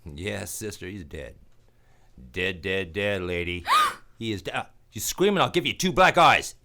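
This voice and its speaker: Guy doing a noir detective, low voice, sardonic tough talk, old radio drama, pitch swings around. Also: lively intonation, a little slow, bright, forceful tone, exaggerated voice. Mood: harsh, threatening. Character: wry, hard-boiled male private detective. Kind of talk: 1940s hardboiled radio drama acting and narration.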